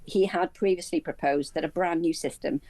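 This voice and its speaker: A woman with a low, dark-toned voice speaks as a guest commentator in a news interview. She explains things in halting, self-repeating phrases, and the sound is close and dry. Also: steady tone, no room sound.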